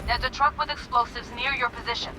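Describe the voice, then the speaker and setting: An actor playing a tense mission handler in a game, giving clipped, commanding orders to a strike team. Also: male voice, extremely high pitch, fairly even intonation, fast. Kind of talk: scripted game mission dialogue. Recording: game audio under loud music.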